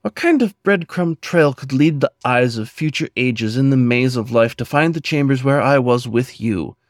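A man reciting his own poem in an earnest, measured, dramatic way, with deliberate pauses between phrases. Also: mid-range voice, animated, no echo, clean studio sound. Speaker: poet and podcast host reading own poem.

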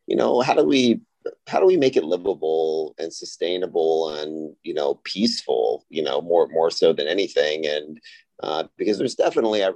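Very deep-voiced man in conversation. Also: a little mellow, no echo. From Austin, Texas. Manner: hesitant phrasing, frequent "you know" asides. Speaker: interview guest, real estate company founder. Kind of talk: conversational podcast interview.

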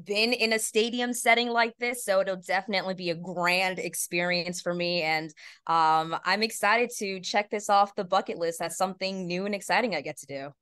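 A woman's voice: mid-range voice, moderate pace, a bit bright, smooth, no room sound, studio quality. A woman speaking as an interview guest, giving earnest, upbeat answers in a conversational way. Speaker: podcast guest, PA announcer and voice actor.

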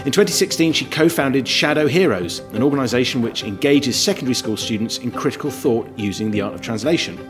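A man's voice: mid-range voice, fast, few pauses. A man hosting a podcast, reading scripted announcements steadily with upbeat lifts on new items. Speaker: podcast host. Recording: guitar music playing underneath.

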